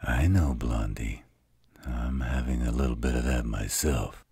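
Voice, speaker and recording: A man acts out a gruff werewolf in an audio drama. His voice is extremely low and heavily husky, and he talks slowly and bluntly, with a protective, teasing streak toward his mate. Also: warm tone, no echo, studio quality, heavily acted. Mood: gruff, caring. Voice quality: very deep, husky, gravelly. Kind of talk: roleplay audio drama acting.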